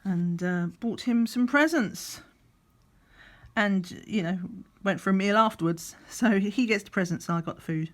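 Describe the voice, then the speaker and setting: A middle-aged woman, slightly croaky, chatting in a rambling way while doing a craft, sometimes counting under her breath. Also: medium pitch, unhurried. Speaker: crafting vlogger. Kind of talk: relaxed chatty craft vlog. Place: at a craft table indoors.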